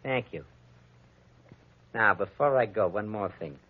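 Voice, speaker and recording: A man's voice: fairly low pitch, lively intonation, measured pace. An actor playing a smug little gunman who threatens with taunting, mock politeness, in 1940s radio crime drama style. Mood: smug menace. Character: menacing little gunman, smugly polite henchman. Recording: dull, band-limited old radio recording.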